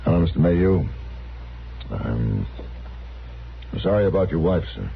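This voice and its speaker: An actor playing a sober, courteous visiting sheriff in a radio western, formal and apologetic. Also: male voice, sits low, big pitch swings, a little slow, gravelly, heavily acted. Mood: solemn, polite. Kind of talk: 1950s radio drama acting. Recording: thin phone-like vintage radio, faint noise.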